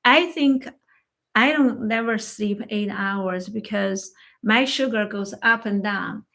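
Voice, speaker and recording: A woman acupuncturist, a non-native English speaker whose first language is Chinese, chatting in a slow, halting way about health, often telling stories about patients. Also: medium pitch, vocal fry, fairly bright tone. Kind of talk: casual health chat. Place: livestream conversation.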